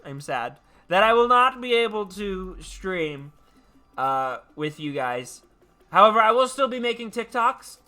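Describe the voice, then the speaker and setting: A man hosting a livestream, sounding tired yet animated, chatting casually and haltingly as he repeats his phrases. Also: high-pitched, soft background music. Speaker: livestream host. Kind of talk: casual rambling chat to viewers.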